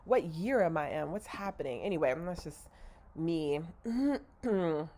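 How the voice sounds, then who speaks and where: A woman's voice: low voice, animated, measured pace, mellow. Nasal, congested woman rambling in a video diary. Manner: rambling, self-correcting, candid. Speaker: vlogger.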